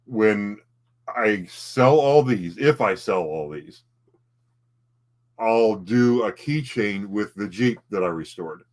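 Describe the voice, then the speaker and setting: A man co-hosting a casual home livestream, talking in halting bursts and often repeating his words. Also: lowish voice, lively intonation. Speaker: livestream co-host, collectibles seller.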